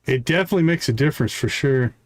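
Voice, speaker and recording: A man's voice: mid-range voice, fast talker, slight background noise. A man live streaming a video game, giving casual commentary as he thinks aloud and chats to viewers.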